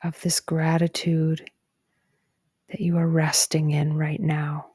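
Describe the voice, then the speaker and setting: A woman narrating a guided meditation in a gentle, calm and soothing way, with soft breathy phrase endings. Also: low-pitched, slow, dry recording, studio quality. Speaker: psychologist guiding a meditation.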